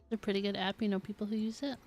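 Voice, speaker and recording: A woman streamer chats casually while playing a game. Her voice sits at a middle pitch, with faint game music behind her. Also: level tone, very fast, a little mellow. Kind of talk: casual livestream chat and reactions.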